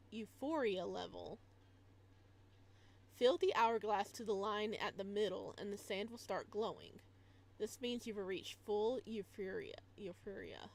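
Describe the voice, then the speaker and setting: A woman live-streaming a game in a casual style, reading on-screen text aloud and adding chatty asides. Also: medium pitch.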